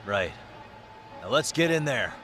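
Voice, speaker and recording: A man playing a cool, polite investigator in a video game, speaking in a measured, questioning way. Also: medium pitch, big pitch swings, a bit bright, soft background music. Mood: urgent. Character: cool, polite male investigator, composed and probing. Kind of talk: video game cutscene acting.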